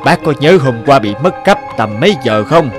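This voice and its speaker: An actor playing a kind, patient, firm elderly male teacher in an animated drama. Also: mid-range voice, moderate pace, music in the background, natural voice. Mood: calm, firm.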